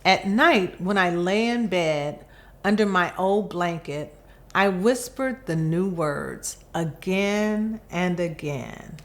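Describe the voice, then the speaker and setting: Female children's story reader, slightly low-pitched, expressive, very slow and deliberate delivery. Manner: expressive, deliberate phrasing with pauses. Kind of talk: children's picture book read-aloud.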